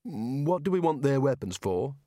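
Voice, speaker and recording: A man's voice: mid-range voice, big pitch swings, a little slow, dark tone, dry recording, studio quality. A man doing a nervous, cowardly wizard. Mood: plaintive. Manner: anxious, plaintive.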